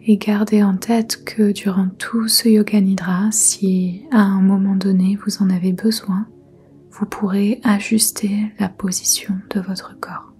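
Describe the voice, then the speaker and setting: A woman leading a guided yoga nidra meditation in a soft, breathy near-whisper, soothing and gentle. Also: medium pitch, little pitch movement, faint music behind. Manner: soothing, gentle, unhurried instruction.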